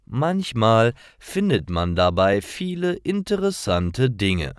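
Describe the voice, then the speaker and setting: Male narrator for learner stories: deep, unhurried, careful delivery with lively intonation. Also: clear, resonant tone, no echo, clean studio sound. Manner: careful, deliberate reading. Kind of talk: slow scripted narration for language learners.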